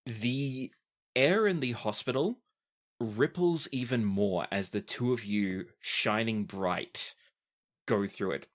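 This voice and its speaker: A man running a tabletop game as game master, describing scenes in a deliberate, dramatic way. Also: lowish voice.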